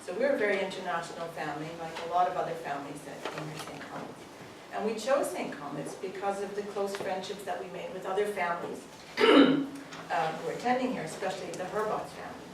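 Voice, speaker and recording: A middle-aged woman from Canada with a Canadian accent gives a joking graduation speech to pupils as a parent, often pausing with "um". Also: lowish voice. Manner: warm, joking, with frequent ums. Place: school hall with pupils. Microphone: distant camera. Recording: echoey hall from far off, room noise.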